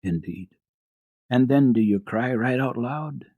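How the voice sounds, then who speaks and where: A man playing a kindly, calm family doctor in a storybook, questioning and reassuring in a gentle, measured manner. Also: mid-range voice, no room sound, narrow, tinny audio, voice pushed up. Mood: probing. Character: kindly male family doctor, calm, soothing, quietly probing.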